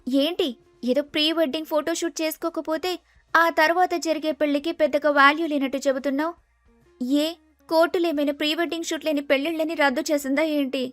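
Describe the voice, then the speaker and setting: A woman's voice: sits high, fairly even intonation, fast talker, dry recording, faint music behind, lightly acted. A woman voicing a sensible, sceptical young bride-to-be in storybook dialogue, reasoning and lecturing.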